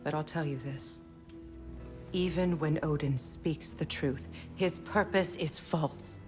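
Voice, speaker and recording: A stern, guarded goddess. Her voice sits a little low, and game music runs faintly behind. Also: slow pace. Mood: wary. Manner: cool, guarded.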